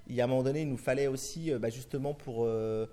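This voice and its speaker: A man's voice: mid-range voice, steady tone, fast talker, dark tone. A middle-aged man from France, a film director answering interview questions in long, rambling turns full of restarts and fillers.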